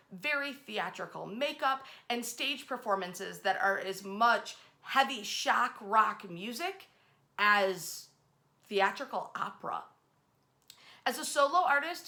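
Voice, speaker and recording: A woman's voice: fairly high pitch, pushed and bright. A woman hosting an informal history storytelling session, speaking in a dramatic, conversational way with emphatic phrasing. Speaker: host, local history storyteller.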